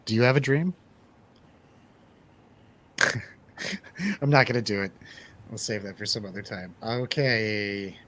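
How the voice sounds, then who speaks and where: A man's voice: lowish voice, measured pace, pauses often, fairly bright tone. A man hosting a casual movie review radio show, bantering in a playful, jokey manner.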